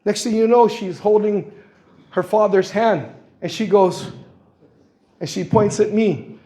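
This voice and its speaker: A man's voice: sits high, steady tone, a little slow, dark tone. A man in his sixties from Guam, speaking at a public forum and telling stories with the quoted voices acted out. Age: 60s. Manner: storytelling, acts out quoted voices. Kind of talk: impassioned public comment. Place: public meeting hall.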